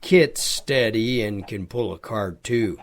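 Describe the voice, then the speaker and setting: A man playing a kindly, burly older farmer in an audio drama, gently insistent in manner. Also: on the low side, slow. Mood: kindly, firm. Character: kindly burly farmer, father of grown son.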